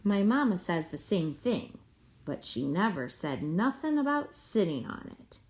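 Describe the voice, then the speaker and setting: Friendly, curious little storybook girl played by a woman reader, folksy, with animated, varied pitch. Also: measured pace. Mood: friendly, inviting.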